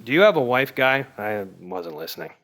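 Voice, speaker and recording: An actor playing a self-important, offhand stand-up comedy instructor in a sitcom podcast. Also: male voice, medium pitch, very animated, fairly quick, a bit bright. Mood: dismissive. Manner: smug, offhand.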